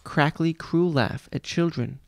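A man's voice: a little high, measured pace, creaky, no echo, studio quality. A man reading a children's audiobook aloud as scripted storytelling narration. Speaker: audiobook reader, father reading to his child.

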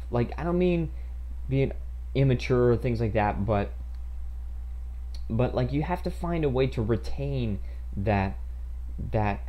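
Young male streamer, twenties, mellow tone; loose, rambling chat with lots of "like" and "um," headset mic at home. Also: mid-range voice. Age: 20s. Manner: rambling, many fillers and restarts, reads chat aloud. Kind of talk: casual chat while gaming.

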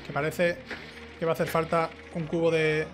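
A man's voice: fairly high pitch, flat delivery, moderate pace, background noise. A young man with an accent from Spain, chatting casually through a let's play and reading game dialogue aloud in an informal way. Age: young adult. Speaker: gaming YouTuber. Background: video game music.

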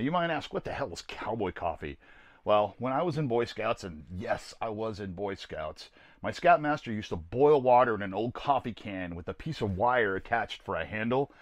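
A man's voice: fairly low pitch, fairly bright tone, dry recording. An older American man giving a casual, plainspoken product review to camera in a folksy, rambling way. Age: older, around 60s. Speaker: host of a camping gear video series. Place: small camper trailer interior.

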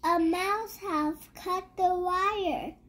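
A young child with a high voice reads a rhyming picture book aloud. The pace is extremely slow and halting, with words sounded out and often repeated. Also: fairly even intonation, fairly bright tone, slight background noise. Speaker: young reader.

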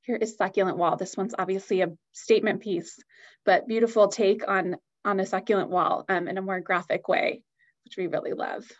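A woman's voice: mid-range voice, steady tone, moderate pace, highs cut off. A woman giving an upbeat, enthusiastic product presentation on a video call.

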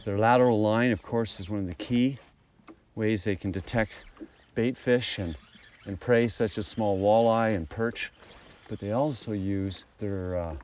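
A man narrating a fishing segment in a slow, measured voice-over. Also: fairly low pitch, darkish tone, slight background noise. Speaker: fishing show host.

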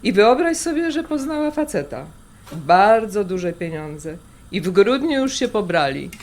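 A woman's voice: medium pitch, very animated, unhurried. A woman playing a wistful, prickly middle-aged artist in a naturalistic radio drama, sighing and a little defensive. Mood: chatty. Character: wistful, prickly artist, sensitive elder-daughter type. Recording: background noise of a kitchen scene. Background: kitchen clatter, pots and dishes.

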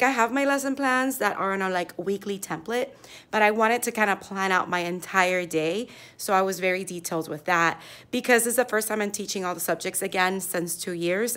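A woman in her thirties talks casually into a phone held out at arm's length in her classroom. Her voice is a little hoarse, and she is chatty and upbeat, with plenty of "like" and "yeah.". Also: medium pitch, a bit bright. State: slightly hoarse, losing her voice. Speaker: fourth grade teacher. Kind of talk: casual vlog chat. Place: classroom, indoors.

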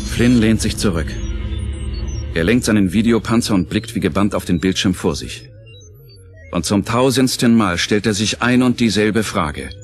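A man narrating an audio drama from a script in a solemn, measured storytelling manner. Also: fairly low pitch, expressive. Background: prominent music.

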